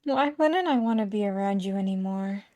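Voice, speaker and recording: A woman's voice: medium pitch, lively intonation, moderate pace, a little mellow, dry recording, pitched below the performer's own voice, close to an everyday voice. A woman voicing an earnest, stubborn, easily flustered young heroine of a dating sim, speaking emphatically. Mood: protesting.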